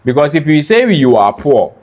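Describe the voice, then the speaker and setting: A man's voice: a little high, big pitch swings, moderate pace, a little mellow. A man in a casual discussion, speaking emphatically and repeating phrases to drive points home. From Africa. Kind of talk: casual two-person discussion.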